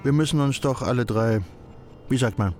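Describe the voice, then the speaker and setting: An actor in a German radio play playing an urbane, wryly amused husband, speaking in a leisurely, ironic, cultivated way. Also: male voice, medium pitch, moderate pace, a little mellow, faint music behind, natural voice. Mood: wry amusement.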